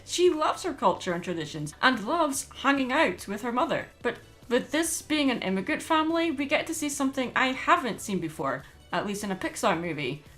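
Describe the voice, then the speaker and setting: Female video-essay host, expressive and opinionated, mid-range pitch, music bed underneath. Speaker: YouTube film reviewer and host. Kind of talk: scripted video essay narration. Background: background music under voice-over.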